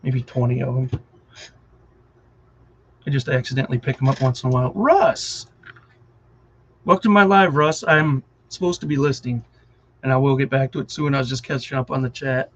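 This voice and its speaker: A man's voice: mid-range voice, normal speed, pauses often, a bit bright, dull audio. A middle-aged man with a Wisconsin accent, chatting casually and rambling on a live stream, often distracted and chuckling.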